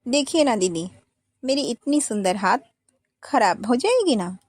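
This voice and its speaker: A woman's voice: a little high, sing-song, a little slow, no room sound, clean studio sound. A woman voicing a lazy, vain young wife who whines to get out of chores. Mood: whiny. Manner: whiny, pleading.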